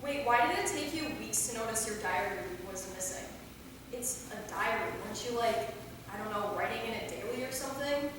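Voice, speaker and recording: A woman's voice: fairly high pitch, fairly quick, natural voice. A woman acting a brash, sarcastic, slangy young vampire in a live staged play reading. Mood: incredulous, excited. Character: brash, flippant, excitable female young vampire. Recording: echoey hall from far off, faint noise.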